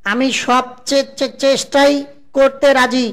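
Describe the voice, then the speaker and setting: A woman's voice: high-pitched, little pitch movement, slow pace. A woman voicing a roguish, lovestruck male fox in a cartoon, who stumbles through words with a heavy stutter. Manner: heavy stutter, repeats syllables. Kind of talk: exaggerated cartoon voice acting.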